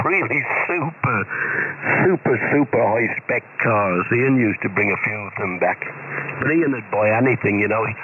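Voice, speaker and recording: A man's voice: mid-range voice, gravelly. A British man, middle-aged or older, chatting casually and anecdotally as an amateur radio operator over shortwave. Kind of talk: casual rambling radio chat. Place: two-way radio link heard on receiver. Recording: noisy narrowband shortwave ham radio.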